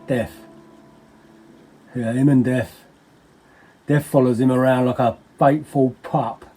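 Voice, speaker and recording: An actor playing an agitated, paranoid English henchman in a dramatic monologue, husky, wordy and slangy, with theatrical repetitions. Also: mid-range voice, mellow, slight background noise, natural voice, low-quality recording. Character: agitated paranoid henchman ranting about a superspy, colourful slangy storyteller.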